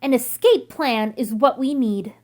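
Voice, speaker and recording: A woman voicing an eager, adventurous girl blueberry in a storybook, with an excited, earnest manner. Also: fairly high pitch, measured pace, pitched below the performer's own voice, close to an everyday voice. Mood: determined.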